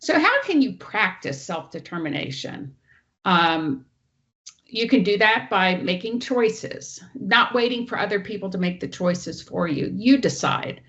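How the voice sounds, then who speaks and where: Woman with a loud teacher voice. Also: mid-range voice, big pitch swings, unhurried, highs cut off. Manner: explains clearly, walks through each slide. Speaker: presenter. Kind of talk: online training presentation. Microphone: online meeting audio.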